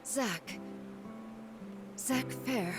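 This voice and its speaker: A woman's voice: fairly high pitch, extremely slow. An actress playing a kindly, worried middle-aged village mother in a video game, earnest in her delivery. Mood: anxious, hopeful. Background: game background music.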